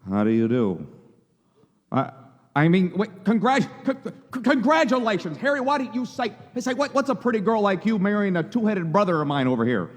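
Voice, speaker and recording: A man playing an earnest young small-town dreamer in a live radio play, with a halting stammer. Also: high voice, sing-song. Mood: hearty, flustered. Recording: echoey hall, long reverb.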